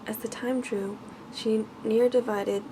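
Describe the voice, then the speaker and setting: A woman reads a storybook aloud in a hushed, gentle, measured ASMR style, with small stumbles over the words. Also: on the high side, steady tone, noisy background. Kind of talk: soft ASMR bedtime story reading.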